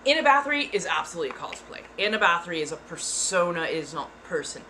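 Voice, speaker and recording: Woman, tarot reader, livestream host, put-on persona accent, theatrical, fond of saying darling, lowish voice. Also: big pitch swings, quick pace, pushed and bright, slight background noise, low-quality recording. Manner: theatrical, calls listeners darling. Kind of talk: live-streamed tarot card readings.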